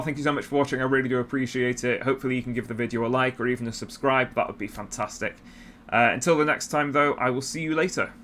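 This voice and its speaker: A man's voice: mid-range voice, pushed and bright, slight background noise. A man giving informal, opinionated commentary in a conversational video-essay style, with emphatic repetition.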